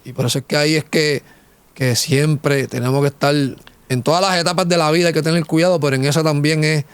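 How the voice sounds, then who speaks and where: A man's voice: fairly high pitch, clear, resonant tone, dry recording. A man hosting a conversational interview, reflecting and exhorting in a religious vein. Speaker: host.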